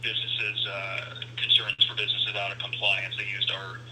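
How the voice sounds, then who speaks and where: A man's voice: lowish voice, monotone, normal speed, pushed and bright, a little hiss or hum, rough recording. A man from a safety agency answering a caller's questions on the phone while searching for documents. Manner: searching aloud while scrolling, apologetic. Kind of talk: phone call.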